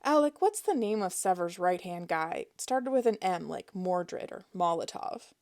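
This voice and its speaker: A woman voicing a quick-witted young woman thief who talks boldly. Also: very animated, darkish tone. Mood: dry. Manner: bold, fakes high-pitched panic.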